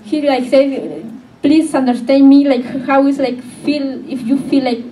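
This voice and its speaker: A young woman whose first language is Spanish answers in hesitant, filler-laden English. Her voice sits a little high but dark and mellow, held in a narrow, even pitch. She is heard from some distance off the mic, with faint hiss. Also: unhurried. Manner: hesitant, filler-heavy non-native English. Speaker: author sharing her story. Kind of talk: halting public Q&A answers. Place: community media event room. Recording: distant, echoey with faint noise.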